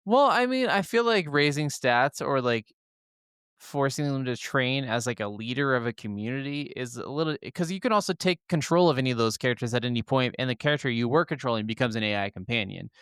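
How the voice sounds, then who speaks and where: A man's voice: medium pitch, lively intonation, brisk, a bit bright, clear, resonant tone, no room sound. Clean, dry-sounding man chatting about games. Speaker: gaming podcast co-host. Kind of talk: casual, opinionated gaming chat.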